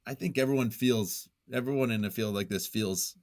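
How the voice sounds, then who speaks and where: A man's voice: medium pitch, moderate pace, a little mellow. A man talking casually and reflectively as an interview guest, hesitant and self-effacing as he thinks aloud. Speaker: podcast guest, nonfiction writer.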